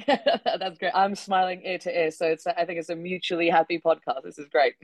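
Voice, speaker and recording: A woman's voice: on the low side, no room sound. Czech woman with a British accent. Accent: British English. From Czech Republic. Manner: quick, chatty, with many "kind of" and "like" fillers and frequent laughs. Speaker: guest, researcher. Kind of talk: casual interview chat. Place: remote call from home.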